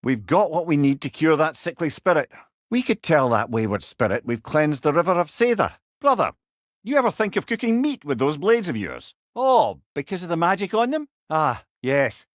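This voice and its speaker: A wise old Scottish man serves as a video game companion and storyteller. His delivery is eloquent and wry, with a lilting, very animated pitch. The recording is close and dry, with no room sound. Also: normal speed, no echo. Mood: amused, chatty. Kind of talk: video game character dialogue.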